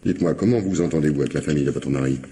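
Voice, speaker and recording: A deep-voiced, probing police commissioner. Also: male voice, warm tone, slight background noise. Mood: insistent. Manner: hesitant, repeats words, deliberate questioning. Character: probing male police commissioner, polite but insistent. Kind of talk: French radio mystery drama acting.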